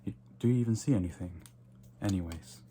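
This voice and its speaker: A man playing a casual, fussy, slightly snobbish vampire barber in an ASMR roleplay, murmuring softly and drifting into whispers. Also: sits low, a little fast, slight background noise, lightly acted. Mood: casually condescending. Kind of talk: ASMR roleplay, soft close personal attention.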